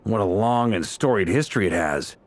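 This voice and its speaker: Man playing a low, easygoing knight commander. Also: animated, brisk, a little hiss or hum, close to an everyday voice. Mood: wry, amused. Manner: conversational, down-to-earth, wry. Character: easygoing veteran knight commander, affable, wry, protective leader. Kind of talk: naturalistic video game voice acting.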